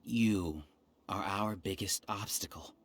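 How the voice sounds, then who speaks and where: An actor playing an arrogant, resentful researcher who leads a hive mind in a video game, with a grandiose, theatrical delivery. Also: male voice, sits low, takes it slowly. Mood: smug, menacing. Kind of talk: dramatic video game dialogue. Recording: music behind the voice.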